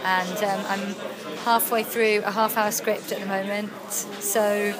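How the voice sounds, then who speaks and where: A woman's voice: medium pitch, a little slow, a crowd in the background, rough recording. A young Welsh woman, an actress and writer, chatting freely in an interview with lots of ums. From Wales. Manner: chatty, animated storytelling with frequent ums. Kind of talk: casual interview chat.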